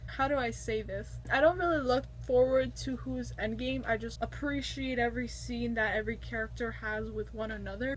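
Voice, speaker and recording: A woman's voice: on the high side, slight background noise, rough recording. A young woman from Canada, chatting casually to camera as she recaps a TV episode, rambling and gesturing as she talks, in a small room on a built-in camera mic. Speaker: vlogger reviewing a TV episode. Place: small room indoors, curtained window.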